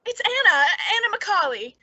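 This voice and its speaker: An actress playing an anxious, reclusive spinster with a hesitant, fretful delivery in an old-time radio suspense drama. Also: female voice, very high voice, sing-song, moderate pace, pushed and bright, no echo, muffled recording, exaggerated voice. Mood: nervous, polite. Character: anxious reclusive spinster, the town's queer one.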